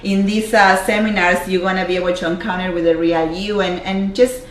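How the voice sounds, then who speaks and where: A woman's voice: medium pitch, fairly even intonation, brisk, reverberant, slight background noise, low-quality recording. A woman from Argentina with a strong Argentine Spanish accent, giving an earnest motivational talk as a life coach on a livestream, with lots of fillers and repeated words. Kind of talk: motivational Facebook Live talk. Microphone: camera mic on a livestream.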